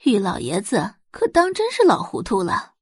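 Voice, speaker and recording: A woman's voice: mid-range voice, wildly swooping pitch, moderate pace, slightly rough, no room sound, studio quality. A woman voicing an envious, scornful society guest who gossips with exclamations and mock pity in an audiobook drama. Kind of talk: audiobook drama character acting.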